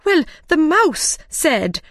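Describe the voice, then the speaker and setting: A nervous little tradesman from a storybook, voiced by a woman reader. He sounds defensive and anxious, protesting in a raised, unhurried voice. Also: big pitch swings, fairly bright tone, dry recording, clean studio sound, heavily acted. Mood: afraid, protesting. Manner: anxious, protesting, high-pitched. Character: nervous, defensive Mad Hatter, adult man. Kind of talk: storybook character reading.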